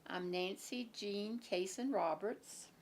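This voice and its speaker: A woman's voice: medium pitch, slow pace, vocal fry, a little hiss or hum. A woman in her seventies from Virginia, carefully recalling her parents in an oral history interview. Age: 70s.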